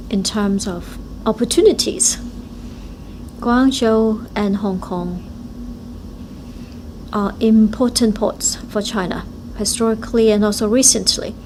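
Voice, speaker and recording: Female simultaneous interpreter, slow and measured, speaking in short chunks. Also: mid-range voice, darkish tone, a little hiss or hum. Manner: halting, short phrase-by-phrase delivery. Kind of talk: live interpretation of speeches.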